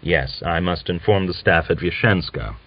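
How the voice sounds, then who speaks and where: A man's voice: low voice, moderate pace, dry recording, lightly acted. A man voicing a terse, sceptical Cossack commander in an audiobook novel. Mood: reserved. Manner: terse, reserved. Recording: dull lo-fi audiobook recording.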